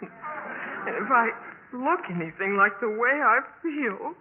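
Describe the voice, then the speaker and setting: An actress playing an earnest, emotional young American college sorority pledge in 1950s radio drama style, stammering and hesitant. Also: female voice, a little high, expressive, takes it slowly, slightly rough, heavily acted. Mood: glum. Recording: muffled, noisy 1950s radio recording.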